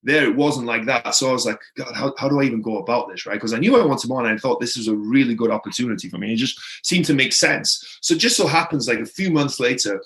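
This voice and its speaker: Welsh male guest, mid-pitched, casual rambling storyteller, lots of fillers. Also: fast, few pauses, a bit bright. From Wales. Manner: rambling, filler-heavy, frequent "right?" and "like". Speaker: podcast guest.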